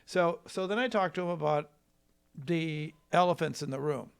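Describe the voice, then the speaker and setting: An American man from Wisconsin, a trial lawyer telling his story in a casual, rambling, folksy and halting way. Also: on the high side, vocal fry, smooth. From Wisconsin, United States.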